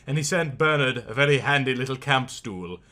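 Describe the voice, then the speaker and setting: A man narrating a storybook theatrically, with emphatic, drawn-out words and big swells and drops. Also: mid-range voice, bright, forceful tone. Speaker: reader of a storybook. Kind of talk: theatrical comic audiobook narration.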